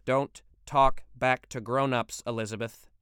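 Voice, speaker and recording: A man playing a gruff, stern pipe-smoking father in a read-aloud story. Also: medium pitch, moderate pace, a bit bright, dry recording, clean studio sound, natural voice.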